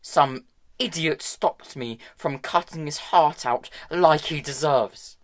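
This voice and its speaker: A man's voice: on the high side, slow pace, pitched above the performer's own voice. A man reading an audiobook, voicing a bitter, blunt young woman with a curt, angry manner.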